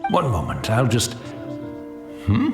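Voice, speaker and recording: An actor playing a humble, serene elderly blind tea master in a video game, speaking with formal, rambling courtesy. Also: male voice, on the low side, huge pitch swings, slow pace, music in the background, full character voice. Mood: flustered, apologetic. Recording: roomy echo, faint noise.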